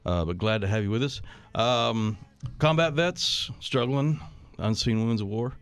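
A man's voice: medium pitch, unhurried, clear, resonant tone, no room sound. A man hosting a casual talk radio show in a conversational, jovial and teasing way. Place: radio studio.

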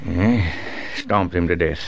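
A man's voice: sits low, takes it slowly, gravelly, highs cut off, exaggerated voice. A man playing a plain-spoken sheriff in an old radio western. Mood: grim. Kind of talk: 1960 radio western acting. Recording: noisy old radio recording.

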